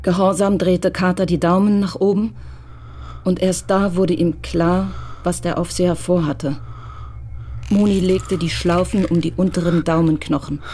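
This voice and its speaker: A narrator telling a crime radio play in even, measured, scripted narration. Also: medium pitch, slight background noise.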